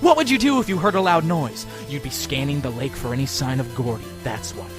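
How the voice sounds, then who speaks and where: A man's voice: medium pitch, lively intonation, fairly quick, music in the background, close to an everyday voice. A man playing an earnest, dogged young defense lawyer, speaking with emphatic, probing delivery in an audio drama. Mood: assertive, probing. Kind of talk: fan audio drama voice acting.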